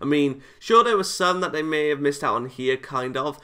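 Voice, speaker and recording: A man hosting a gaming video, reading a visual novel aloud and giving casual, rambling commentary in a halting way. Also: a little high, bright, forceful tone, clean tone.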